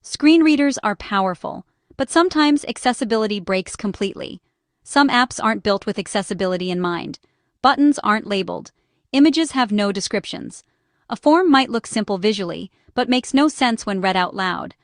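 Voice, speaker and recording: A woman's voice: mid-range voice, expressive, normal speed, no echo, studio quality. A woman reading a scripted explainer narration in short, instructional phrases. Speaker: narrator and small business owner explaining accessibility.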